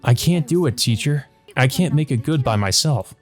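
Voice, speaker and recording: A man's voice: mid-range voice, animated, normal speed, faint music behind, lightly acted. A man voicing a sad, grateful young boy in a visual novel, speaking earnestly and hesitantly. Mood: tearful, pleading.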